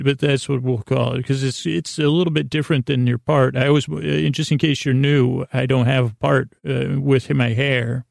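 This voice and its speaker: A man hosting a bedtime sleep podcast, rambling in a halting, stammering way and often trailing off mid-thought. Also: mid-range voice, a little mellow, no echo, studio quality. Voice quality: gravelly, creaky. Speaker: podcast host.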